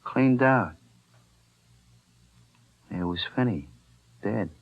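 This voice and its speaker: A man's voice: on the low side, expressive, very slow, creaky, full character voice. A man acting a greedy, suspicious, bullying crook with slangy tough-guy talk in 1940s radio crime drama. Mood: wary. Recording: muffled 1940s radio recording.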